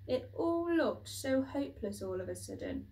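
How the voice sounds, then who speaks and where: A woman reading a children's book aloud as expressive, dramatic storybook narration. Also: high voice, normal speed, far from the mic, low-quality recording. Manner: dramatic, with acted sound words.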